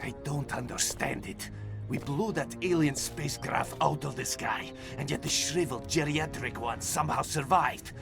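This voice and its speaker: An actor playing a cold, menacing Soviet commander in a video game, with a Russian accent and a deliberate, sinister, gravelly delivery. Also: male voice, a little high, over music. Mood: cold, commanding. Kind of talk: video game villain acting.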